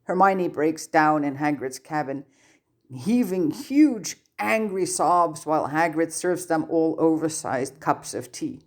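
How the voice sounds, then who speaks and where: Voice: medium pitch, lively intonation, slow. A narrator reading fan fiction aloud as scripted audiobook-style narration. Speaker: podfic narrator.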